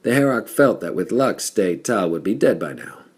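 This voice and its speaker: A man voicing a gruff, amused giant brawler with a hearty, growling manner in an audiobook. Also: fairly low pitch, big pitch swings, moderate pace, darkish tone, voice pushed up.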